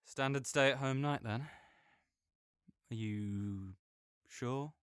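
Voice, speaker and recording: Guy voicing a chill caring buddy in an audio rp, smooth clear voice, gentle and a bit jokey, dry close mic. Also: medium pitch, very slow, no echo. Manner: gentle, reassuring, softly joking. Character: caring, easygoing young male friend. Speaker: friend comforting the listener. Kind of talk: audio roleplay spoken to the listener.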